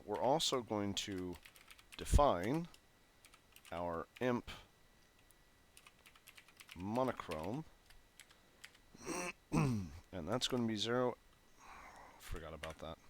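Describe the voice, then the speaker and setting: A man's voice: lowish voice, fairly bright tone, a little hiss or hum. A man with a phlegmy throat walking through code as he types, thinking aloud with fillers and self-corrections. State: phlegmy, clearing his throat. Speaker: programming tutorial host. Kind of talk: casual coding walkthrough. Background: keyboard typing and clicks.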